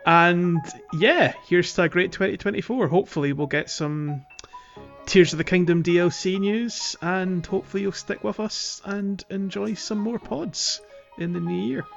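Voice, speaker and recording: A man's voice: sits high, moderate pace, creaky, dull audio, soft background music. A man co-hosting a podcast in a casual chatting style. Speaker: podcast co-host. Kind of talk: casual chat.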